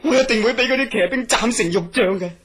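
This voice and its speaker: A man's voice: very high voice, quick pace, a bit bright. Man acting a terrified, pleading hospital patient. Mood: panicked pleading. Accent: Hong Kong Cantonese. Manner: pleading, overwrought. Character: terrified male mental hospital patient dreading his nightmares. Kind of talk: Cantonese horror radio drama acting.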